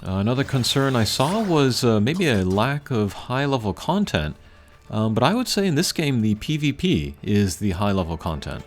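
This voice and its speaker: A man giving casual, unscripted gameplay commentary as a channel host, thinking aloud in a measured way. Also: medium pitch, very animated. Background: game music.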